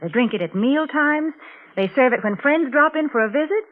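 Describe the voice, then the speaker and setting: A woman's voice: high-pitched, animated, moderate pace. A woman doing 1940s radio advertising as a sponsor's spokeswoman, prim and emphatic. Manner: prim, scolding, emphatic salesmanship. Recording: tinny, band-limited 1940s radio recording.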